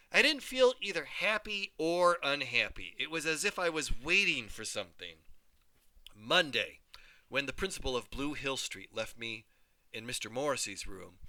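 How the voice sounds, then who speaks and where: Animated man reading a story aloud. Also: medium pitch, no echo. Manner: animated, voices each character. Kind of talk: expressive read-aloud storytelling.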